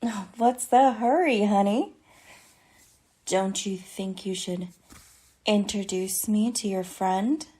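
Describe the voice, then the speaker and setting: A woman's voice: mid-range voice, slow pace, long pauses, mellow, slightly rough. A woman playing a breezy, flirtatious balloon dancer in a radio comedy, with a languid, coquettish manner. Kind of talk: 1950s radio comedy acting.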